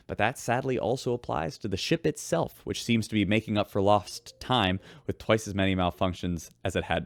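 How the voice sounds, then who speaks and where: Wry chatty space radio newsreader, voice swings up and down, dry close sound. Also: male voice, mid-range voice, no echo. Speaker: radio host. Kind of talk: scripted radio news narration.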